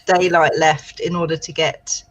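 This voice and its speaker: A woman's voice: low voice, flat delivery, a little slow, highs cut off, slight background noise. A British woman co-hosting a casual writing livestream, chatting and reading out viewer comments encouragingly. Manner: chatty, encouraging, thinks aloud. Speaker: livestream co-host.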